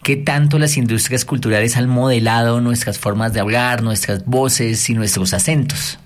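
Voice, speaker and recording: A man's voice: medium pitch, fairly even intonation, normal speed. A man interviewing a guest, posing long, articulate questions in a composed way.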